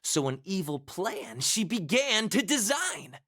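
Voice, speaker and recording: A narrator giving scripted rhyming storybook narration in a slow, even reading. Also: medium pitch, no room sound, studio quality.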